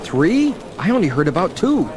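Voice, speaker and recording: An actor playing a cheeky, quippy young student superhero in a seventies audio drama, speaking in a breezy, curious way. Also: male voice, sits high, very animated, normal speed, a crowd in the background. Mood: eager, boyish. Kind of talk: 1970s superhero record audio drama acting. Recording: reverberant with faint background hiss.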